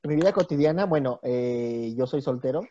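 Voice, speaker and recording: A man's voice: medium pitch, animated, normal speed, lo-fi sound, background noise. A man with a Mexican accent chatting casually as a podcast guest. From Mexico City. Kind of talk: casual chat.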